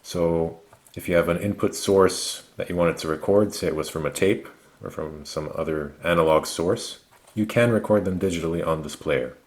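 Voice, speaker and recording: A man narrating a product review, explaining things methodically in short, measured phrases. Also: sits low. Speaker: gadget reviewer.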